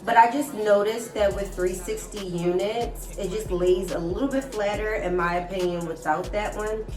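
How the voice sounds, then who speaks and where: A woman talks her viewers through a wig install in a chatty, friendly-tutorial way, her voice a little stuffy from a cold. She sits back from the mic in a roomy-sounding space. Music plays clearly underneath. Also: medium pitch, fairly even intonation, moderate pace, distant mic. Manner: chatty, keeps addressing viewers as guys. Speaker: hair vlogger. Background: background music.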